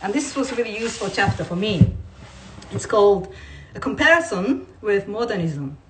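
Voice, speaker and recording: A woman reading non-fiction aloud in a measured, deliberate way, like a writer presenting a text. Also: medium pitch, expressive, background noise. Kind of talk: read-aloud of non-fiction with brief informal asides. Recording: voice distant from the mic.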